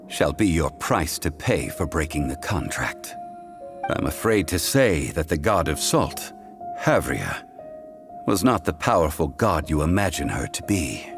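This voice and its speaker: A man voicing a calm, dignified scholar in a video game, speaking in a measured, formal, old-fashioned way. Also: a bass voice, heavily acted. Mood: grave. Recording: game audio with music behind.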